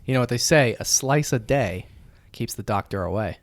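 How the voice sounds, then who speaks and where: A man's voice: medium pitch, expressive, normal speed, no echo. A man hosting a podcast, agreeing and prompting his guest with short casual questions. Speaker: podcast host. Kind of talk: casual interview talk. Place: podcast studio.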